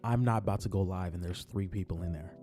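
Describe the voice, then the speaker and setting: A man talking casually about basketball in his own vlog, his voice raspy from just waking up, laid-back and repeating himself as he speaks from the heart. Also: low voice, fast, warm tone, faint music behind. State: raspy morning voice. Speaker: sports vlogger. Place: on his way to work.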